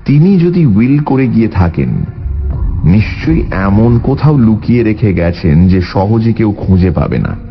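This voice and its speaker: A man playing a calm, shrewd private detective in an audio drama, measured and confident. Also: on the low side, lo-fi sound, faint music behind. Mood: calm, reasoning. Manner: measured, assured, authoritative.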